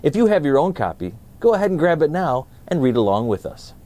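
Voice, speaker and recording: A man in his thirties hosting a children's read-aloud, presenting nonfiction in a deliberate, emphatic storytelling way. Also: on the high side, sing-song, mellow.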